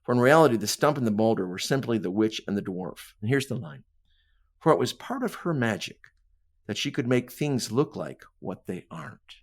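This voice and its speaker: A man's voice: medium pitch, animated, normal speed. A man speaking as a guest author on a podcast, discussing literature conversationally and emphatically, often checking in with "right?" and voicing imagined dialogue as he explains. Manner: emphatic, punctuates points with "right?".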